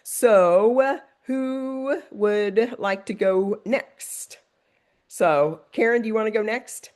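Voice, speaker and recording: A woman's voice: mid-range voice, slow, a little mellow. Stuffed-up woman hosting a call. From Oklahoma. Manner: hesitant, many ums. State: stuffed-up nose. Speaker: call host. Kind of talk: casual video call.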